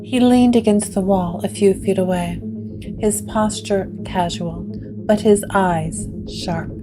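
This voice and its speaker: Woman, measured dramatic narrator, mid pitch, over guitar music. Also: fairly even intonation, rough recording. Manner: slow, hushed, dramatic storytelling. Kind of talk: scripted gothic drama narration.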